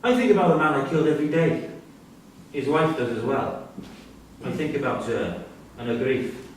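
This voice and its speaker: An actor playing an articulate, sardonic prisoner in a staged play reading. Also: male voice, medium pitch, animated, normal speed, natural voice. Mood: sombre. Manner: sardonic, teasing. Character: articulate, wry male prisoner, remorseful killer. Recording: distant echoey hall recording, faint noise.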